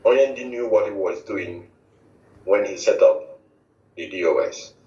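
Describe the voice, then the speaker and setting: Slow, emphatic Nigerian man on echoey line. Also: on the low side, dark tone. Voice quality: halting, many restarts and fillers. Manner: emphatic, repetitive declarations. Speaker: movement spokesman interviewed on radio. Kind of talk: formal radio statement. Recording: echoey, distant, dull lo-fi audio.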